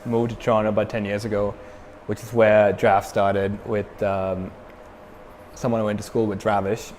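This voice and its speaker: Young guy from sri lanka, low voice, rambly and laid back, reverby room with faint hum. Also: normal speed. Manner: relaxed, many fillers and restarts. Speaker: metal band frontman, interview guest. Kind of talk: casual interview.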